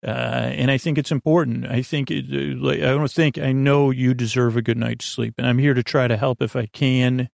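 A man hosting a bedtime podcast with a whimsical, meandering monologue, halting and restarting in a playful, self-deprecating way. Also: mid-range voice, steady tone, gravelly, a little mellow, no room sound, studio quality. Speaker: host of a bedtime sleep podcast.

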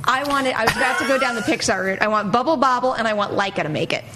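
A woman with a fairly high voice hosts a movie talk show. She chats emphatically and with enthusiasm, moving easily between announcements and casual opinion. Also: fast, background noise. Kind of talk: lively panel chat and hosting.

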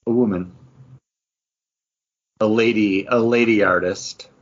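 A man's voice: lowish voice, steady tone, takes it slowly. A man co-hosting a podcast, giving his take on comic books in casual chat. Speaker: podcast co-host. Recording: dull lo-fi podcast audio.

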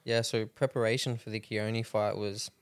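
Gravelly low man, flat delivery. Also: moderate pace, dry recording. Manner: laid-back, easygoing. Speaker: guest, MMA fighter. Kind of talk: casual podcast chat.

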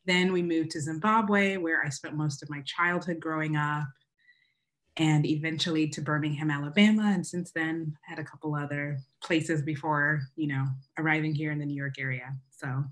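A woman from Uganda talks as a podcast guest, telling her story in an animated, conversational way. Her voice sits low for a woman, and she laughs often. She is calling in remotely. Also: normal speed. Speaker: guest, wedding photographer. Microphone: remote call from home.

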